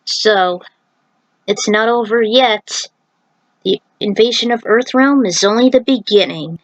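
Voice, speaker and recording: A woman's voice: fairly high pitch, a little slow, dry recording, dull audio. A woman narrating a story aloud in an informal way, breaking off for chatty asides and recaps. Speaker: narrator reading own fan fiction. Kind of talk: story reading with casual asides.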